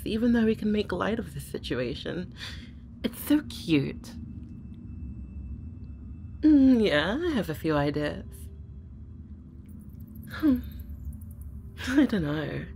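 Woman playing a flirty teasing gf, low-ish voice, slow and drawn out, bit of hiss behind. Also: sing-song, darkish tone. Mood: flirtatious. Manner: teasing, flirtatious, sighing, swearing. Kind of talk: audio roleplay.

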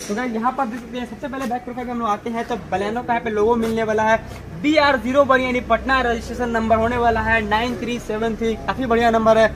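A man's voice: extremely high pitch, flat delivery, quick pace, crowd noise. A young man hosting a walkaround video at an outdoor used car lot, presenting to viewers in a repetitive, filler-heavy way. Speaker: car showroom video host.

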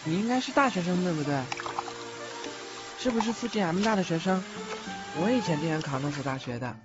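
A man's voice: high-pitched, expressive, fairly quick. A man playing the timid, lonely ghost of a young male student in an audio drama, hesitant and pleading. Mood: curious, friendly. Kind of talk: Chinese audio drama acting. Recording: dull lo-fi audio, loud music.

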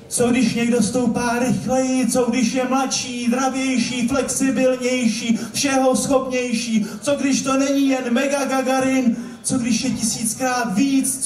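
High-pitched man declaiming slam poetry. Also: darkish tone. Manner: theatrical, declamatory, repeating words for emphasis. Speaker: slam poet. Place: club stage at a live competition. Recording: echoey hall, distant stage microphone.

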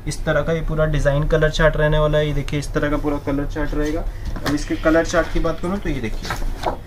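A young man selling sarees wholesale in a shop, running a brisk sales pitch and repeating the prices in English. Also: flat delivery, background noise. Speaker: saree seller. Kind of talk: wholesale product showcase. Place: indoor saree shop floor.

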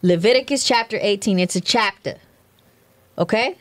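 A woman's voice: medium pitch, normal speed, dry recording. A woman from California, a guest on a podcast, arguing back defiantly and quoting scripture, speaking into a studio mic in an indoor studio. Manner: defiant, quotes scripture to argue her point. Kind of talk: casual argumentative chat. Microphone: studio mic on a boom arm.

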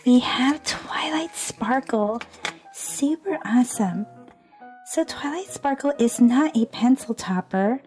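Bubbly high-voiced woman losing her voice. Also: lively intonation, slow, darkish tone, soft background music. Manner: bubbly and gushing, repeats "super awesome", giggles often. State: under the weather, losing her voice. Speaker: toy unboxing host. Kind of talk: cheerful toy unboxing narration. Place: indoors at a tabletop toy display.

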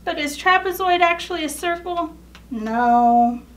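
A woman's voice: very high voice, measured pace, recorded from a distance. A young woman reading a children's picture book aloud, explaining as she goes and asking questions she then answers. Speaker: storytime reader. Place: indoors in front of a photo backdrop.

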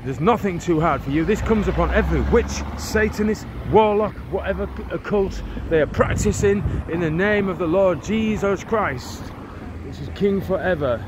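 A man's voice: sits high, moderate pace. A man in his forties from England, praying and preaching fervently while walking down a residential street, filming on a phone held at arm's length, often rambling and restarting his words. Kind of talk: walk-and-talk prayer and preaching vlog. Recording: outdoor street noise with passing traffic.

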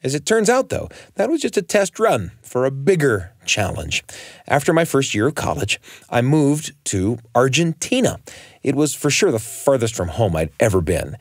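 A man's voice: medium pitch, very animated, normal speed, no echo, clean studio sound. An American man telling a scripted personal story as a radio host, in the manner of a storyteller.

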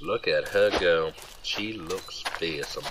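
An actor playing a glib, self-serving young rogue and old pal in an audio drama, casual in manner. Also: male voice, sits low, takes it slowly, background noise. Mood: musing, scheming.

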